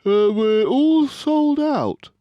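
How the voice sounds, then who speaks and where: Very high, drawn-out shop salesman. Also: expressive, mellow, no echo, lo-fi sound, full character voice. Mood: apologetic. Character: male shoe shop salesman breaking bad news. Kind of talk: storybook character voice.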